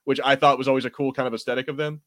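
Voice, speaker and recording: A man's voice: mid-range voice, level tone, very fast, a bit bright, dry recording. Casual male podcast host, dry close sound. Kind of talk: casual chat, fan discussion.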